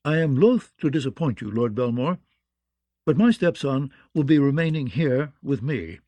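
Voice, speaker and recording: A man's voice: medium pitch, sing-song, normal speed, mellow, no echo, natural voice. A man voicing a sly, courteous nobleman who speaks with dry, feigned innocence in a fantasy audiobook. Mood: smooth, unruffled. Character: sly, unruffled nobleman, mildly mocking schemer. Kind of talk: fantasy audiobook character acting.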